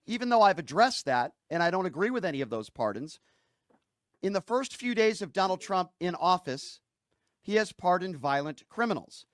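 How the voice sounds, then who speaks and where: A man's voice: high voice, sing-song, normal speed. A man hosting a political call-in show, arguing in an indignant, emphatic manner and firing pointed rhetorical questions. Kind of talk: heated political argument.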